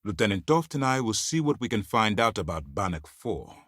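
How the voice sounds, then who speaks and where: Stern, deep-voiced military commander. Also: male voice, sing-song, fairly quick, fairly bright tone, no echo, studio quality. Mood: stern, businesslike. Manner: clipped, formal, commanding. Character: stern, principled male military commander, exacting and authoritative. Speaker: video game voice actor. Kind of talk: scripted game character dialogue.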